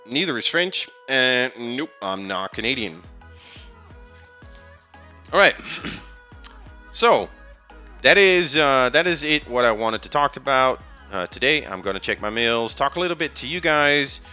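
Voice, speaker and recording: A man's voice: mid-range voice, expressive, unhurried, long pauses, sharp tone, faint music behind. A man speaking English, giving casual, rambling game advice on a live stream with many fillers and restarts. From Netherlands. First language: Dutch.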